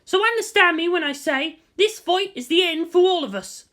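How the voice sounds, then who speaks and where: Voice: very high voice, expressive, moderate pace, bright, forceful tone, pitched above the performer's own voice, exaggerated voice. A narrator voicing a fierce teenage girl soldier, giving an impassioned, commanding speech as part of an audiobook story. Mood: fierce, rousing. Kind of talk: shouted battlefield speech in audiobook reading.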